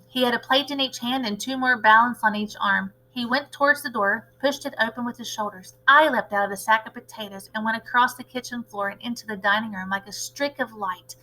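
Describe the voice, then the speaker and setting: A woman's voice: a little high, sharp tone, poor audio. A woman teacher narrating a children's storybook aloud, expressively and with dramatic emphasis.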